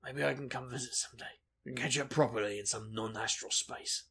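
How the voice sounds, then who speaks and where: An actor playing a brash, wisecracking investigator in a fantasy audio drama, a reckless charmer with a glib, deadpan delivery. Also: male voice, mid-range voice, a little fast, slightly rough. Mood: earnest.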